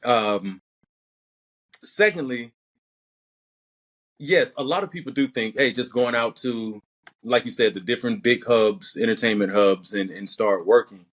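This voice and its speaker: A man's voice: mid-range voice, measured pace, pauses often. A young man with a light Southern accent, an actor answering interview questions in a thoughtful, methodical way. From Alabama. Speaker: actor and podcast guest.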